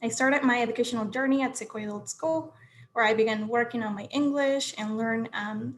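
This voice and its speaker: Young woman from peru on a video call, bit high voice, faint noise behind. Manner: earnest, friendly storytelling. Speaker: keynote speaker.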